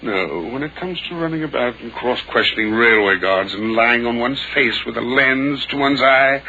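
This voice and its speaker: An actor with an English accent playing a pompous, portly government official in an old radio mystery, speaking formally and emphatically. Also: male voice, medium pitch, normal speed, pushed and bright. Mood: self-satisfied. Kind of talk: 1940s radio drama acting. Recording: muffled, noisy old radio recording.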